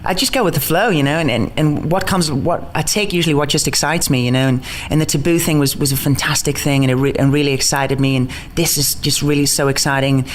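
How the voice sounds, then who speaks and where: A man from the north east of England with a mixed accent, part worn-down Geordie and part American, chatting as an interviewed stage actor, quick and excitable, laughing often and restarting his sentences. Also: fairly high pitch, a little hiss or hum. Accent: mixed, mostly neutralised Geordie with American touches. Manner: rapid, bubbly, stumbling restarts, laughs often. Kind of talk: casual interview chat.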